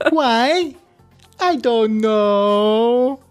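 A man co-hosting a podcast, casually telling a long anecdote that keeps wandering into asides and self-corrections. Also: extremely high pitch. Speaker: podcast co-host. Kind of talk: casual chat, storytelling. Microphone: studio microphone plugged into computer.

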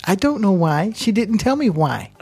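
A man's voice: high-pitched, big pitch swings, normal speed, gravelly, a little mellow, husky. A man co-hosting a podcast, casually telling a long anecdote that keeps wandering into asides and self-corrections. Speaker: podcast co-host. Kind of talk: casual chat, storytelling. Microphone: studio microphone plugged into computer.

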